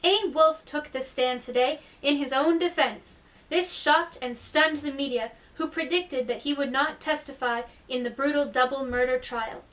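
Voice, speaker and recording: A formal news reporter acted by a woman announces a breaking story for a puppet show. The voice is high-pitched and scripted, picked up from a little distance. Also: normal speed, a bit bright. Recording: distant microphone.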